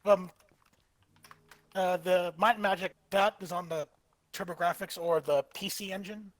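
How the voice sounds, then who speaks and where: Young male streamer, slightly high voice, tired late-night rambling casual chat. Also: a little slow, no room sound. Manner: rambling, restarts sentences, excitable about old games. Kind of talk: casual chat while gaming.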